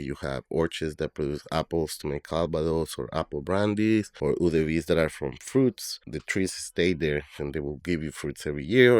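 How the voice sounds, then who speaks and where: Very deep-voiced man from Colombia. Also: darkish tone, no room sound, clean studio sound. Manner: loose, thinking aloud with many fillers. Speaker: guest, bartender and brand ambassador. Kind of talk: casual interview talk.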